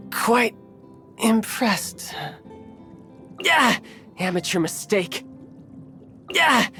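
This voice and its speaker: A man's voice: sits high, fairly bright tone, full character voice. A man voicing a cocky, friendly young warrior in a video game, with a teasing, confident manner. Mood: cocky, amused, then battle-ready, taunting. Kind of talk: video game character voice lines.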